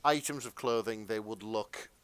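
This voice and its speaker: A man co-hosting a casual chat, explaining himself in a halting, qualifying way. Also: fairly low pitch, a bit bright, clean tone, no echo. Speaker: podcast co-host.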